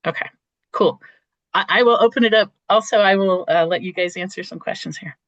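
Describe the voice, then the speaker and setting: A meeting host with a cold and a croaky voice, giving enthusiastic remarks and halting often with fillers over a video call. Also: medium pitch, a bit bright, dry recording. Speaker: meeting host from a state forestry agency.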